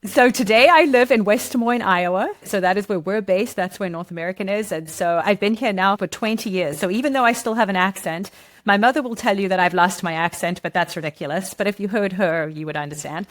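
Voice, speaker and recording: A woman's voice: medium pitch, fairly quick. A middle-aged woman with a South African accent, a guest on a podcast interview, explaining things at length in a conversational way. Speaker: guest, marketing director.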